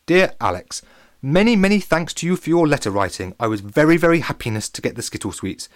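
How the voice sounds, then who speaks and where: A man's voice: a little high, animated, a little fast, dry recording, clean studio sound, voice pushed up, close to an everyday voice. A man playing an earnest Russian boy penfriend, speaking quaint broken English in a children's story. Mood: cheerful, earnest.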